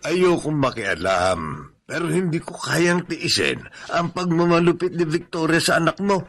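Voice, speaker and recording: A man's voice: a little high, very animated, moderate pace, fairly bright tone, exaggerated voice. A man playing a timid, kindly household servant in a Filipino radio drama, hesitant and prone to stammering. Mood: concerned. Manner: hesitant, stammering under pressure.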